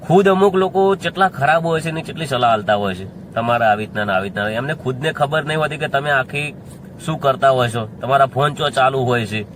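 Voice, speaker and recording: A man's voice: mid-range voice, brisk, a bit bright, a little hiss or hum. A man with a North Gujarat accent giving an informal, defiant and insistent address to viewers, often repeating his points. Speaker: singer addressing community critics.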